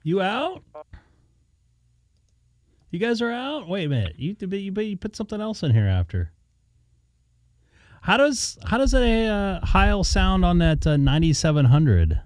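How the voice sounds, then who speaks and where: A man hosts a hobby live stream in a loose, rambling chat, often thinking aloud mid-sentence. His voice is mellow and dark. It is recorded dry and close on a handheld studio mic. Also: sits high, sing-song, measured pace, long pauses, no room sound. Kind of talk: casual chat. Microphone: dynamic studio mic held in hand.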